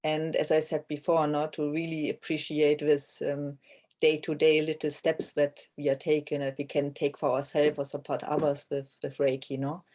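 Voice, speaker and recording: Female interviewee, native German speaker, narrow pitch range, thoughtful, tentative, checks in with tag questions. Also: flat delivery, moderate pace, a little mellow. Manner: tentative, seeks agreement with tag "no?". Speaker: interviewed author. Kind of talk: reflective interview conversation.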